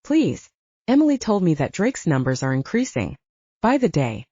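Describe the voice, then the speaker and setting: A woman's voice: fairly low pitch, sing-song, moderate pace, mellow, slightly rough, dry recording, muffled recording. A woman voicing an earnest, stubborn young male werewolf hero in an audiobook. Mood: pleading. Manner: pleading, wry, sighing.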